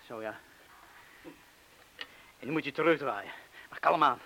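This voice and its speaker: A tense, terse man, bright voice. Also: mid-range voice, a little slow, rough recording. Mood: focused, instructing. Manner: terse, instructing. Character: gruff, steady bomb disposal man, protective and commanding. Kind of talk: radio play acting. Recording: hissy old radio play recording.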